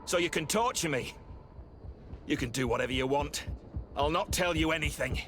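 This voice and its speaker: An actor playing a smug, corrupt guard lieutenant in a video game, cocky and quietly threatening. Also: male voice, on the high side, moderate pace, close to an everyday voice. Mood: defiant. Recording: noisy ambient sound behind the voice.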